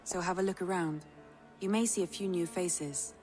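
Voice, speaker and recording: Over music, an actress plays a composed Viking woman advisor in a video game. Her voice is fairly low, and she counsels in a measured manner. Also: darkish tone, lightly acted. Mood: composed, advising. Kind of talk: video game dramatic acting.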